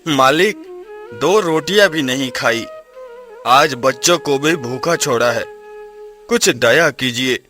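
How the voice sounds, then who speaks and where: A man's voice: medium pitch, animated, takes it slowly, long pauses, bright, forceful tone. A man playing a humble, weary and kind poor farmer in a moral storybook tale, meek and earnest. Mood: meek pleading. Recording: music behind the voice.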